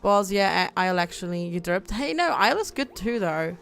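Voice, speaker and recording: A woman's voice: medium pitch, animated, normal speed, creaky, a bit bright, clean tone. A young woman from Germany chatting casually with her viewers while playing a game on a livestream, reading out chat and laughing often. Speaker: streamer.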